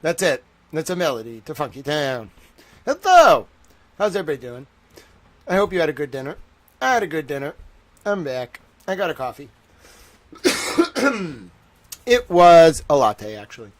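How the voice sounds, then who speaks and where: A man's voice: fairly high pitch, big pitch swings, normal speed, pauses often, no room sound. A man hosting a livestream in a loose, chatty way, his voice tired. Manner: chatty, loose, greets chat viewers. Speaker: livestream host. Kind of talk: casual rambling livestream chat.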